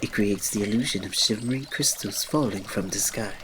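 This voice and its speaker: A man playing a reserved, devoted royal servant in an ASMR roleplay, speaking formally and politely with quiet fondness and restraint. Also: on the low side, a little fast, noisy background, close to an everyday voice. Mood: quietly fond. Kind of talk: ASMR roleplay, scripted drama.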